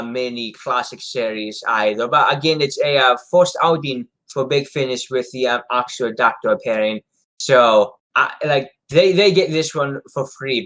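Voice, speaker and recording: A man's voice: mid-range voice, sharp tone, low-quality recording. A man co-hosting a casual fan discussion, speaking in a hesitant, rambling way and often repeating himself. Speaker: co-host of a Doctor Who discussion show.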